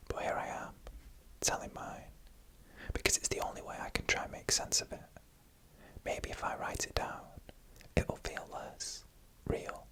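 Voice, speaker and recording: A storyteller who whispers throughout, with a crisp, bright edge to the breath. The delivery is scripted ASMR narration of eerie first-person tales, laid out in short, hushed phrases with pauses between them. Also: rough recording.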